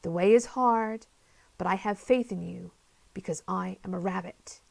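A woman voicing a wise, heroic rabbit in a solemn, measured way. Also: fairly low pitch, gravelly, darkish tone. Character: wise, heroic rabbit speaking through its eyes.

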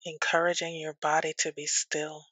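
A woman teaching yoga nidra as a guided meditation, speaking gently and soothingly with long pauses. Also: low-pitched, completely flat delivery, dry recording.

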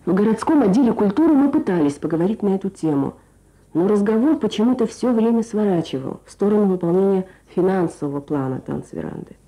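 Female Soviet-era radio reporter, mellow timbre, lively melodic scripted delivery. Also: mid-range voice, fast, low-quality recording. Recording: archive radio broadcast with music.

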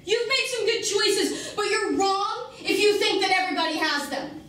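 A woman's voice: high voice, expressive, unhurried. A woman performing a dramatic monologue as an embittered, struggling working-class mother, defensive and pleading, with emphatic, self-questioning delivery. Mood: angry, bitter. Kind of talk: dramatic stage monologue acting. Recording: very echoey room, distant mic, faint noise.

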